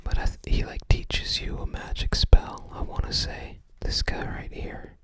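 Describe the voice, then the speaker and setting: An ASMR creator whispering casual, musing commentary on a game guide, often tentative while recalling details. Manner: unhurried, musing, tentative recollection.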